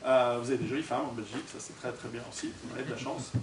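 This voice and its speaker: A man's voice: medium pitch, steady tone, rapid-fire. A man from Switzerland gives an informal talk to an audience, conversational and joking, projecting his voice without a microphone and putting questions to the room. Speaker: guest lecturer and author. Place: indoor hall, recorded at a distance. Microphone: distant camera or recorder, no microphone on speaker. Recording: echoey hall from far off, noisy.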